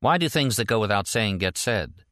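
A man's voice: lowish voice, expressive, normal speed, bright, forceful tone, no room sound. Casting: guarded, brooding man in a novel played by a male narrator, clipped evasive replies, dry close recording. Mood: wary, subdued. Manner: terse, evasive. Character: wary, guarded, brooding man thinking slowly aloud.

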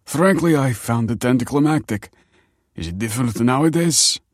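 A kindly old grandfather from an audiobook, acted by a male narrator. His voice is husky and sits higher than the narration. He talks in a wry, reassuring way, and the sound is dry. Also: lively intonation, normal speed, no echo, close to an everyday voice. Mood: wry, amused. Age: older. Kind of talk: audiobook character dialogue.

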